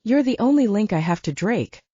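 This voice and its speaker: A woman narrator plays a young-hearted, determined hero in an audiobook. He banters in a wry, casual way, with mobile pitch and a mellow tone. The audio is slightly dull. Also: animated, a little fast, slightly rough, no echo. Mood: firm. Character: teasing, determined man, first-person hero of werewolf story. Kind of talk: audiobook character acting.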